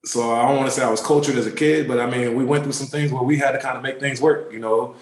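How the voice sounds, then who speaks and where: A man in his thirties, a pro basketball player, telling relaxed, rambling stories in a casual interview. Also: mid-range voice, quick pace, reverberant. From born in Turkey, raised in the DC area. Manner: relaxed, rambling, many fillers.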